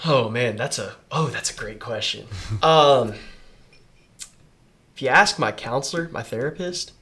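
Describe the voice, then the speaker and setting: A man's voice: medium pitch, a little slow, a bit bright. A man chatting casually as a podcast guest, informal and thinking aloud as he answers. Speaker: podcast guest, entertainment company worker. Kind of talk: casual chat.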